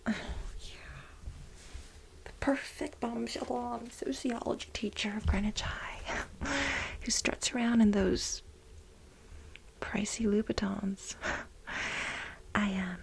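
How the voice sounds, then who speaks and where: A woman playing a fawning, gossipy office worker in a soft-spoken ASMR role play, breathy and hesitant. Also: a little high, fairly even intonation, exaggerated voice, rough recording. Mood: gossipy, sympathetic. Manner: hesitant, halting, nervous giggles, ingratiating. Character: fawning, gossipy female office employee sweet on her boss.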